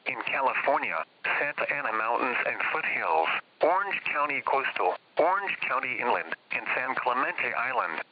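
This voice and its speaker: A man's synthetic-sounding announcer voice reading weather alerts in a flat, formal bulletin manner. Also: medium pitch, normal speed, pauses often. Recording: muffled, noisy weather radio broadcast.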